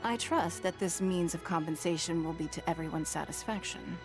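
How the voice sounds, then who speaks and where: An actress playing a composed, powerful businesswoman who speaks in measured, formal, authoritative phrases. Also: on the low side, lightly acted. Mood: composed, businesslike. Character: poised, powerful businesswoman leader, exacting and composed. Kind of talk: video game voice acting. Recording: background music under dialogue.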